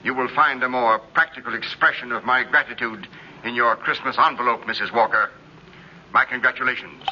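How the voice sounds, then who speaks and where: A man's voice: mid-range voice, steady tone, fairly quick, pushed and bright. A man acting a formal, pompous department store boss, declaiming in 1950s radio drama style. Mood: pompous gratitude. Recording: muffled, hissy 1950s radio recording.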